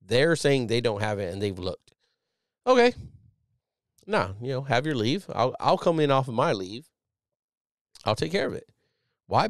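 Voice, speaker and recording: A man in his thirties hosting a podcast, talking casually as a Navy chief, loud and profane with a strong voice. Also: medium pitch, no room sound, clean studio sound. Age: millennial, 30s. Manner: animated, profane, loud and emphatic. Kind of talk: casual solo podcast commentary.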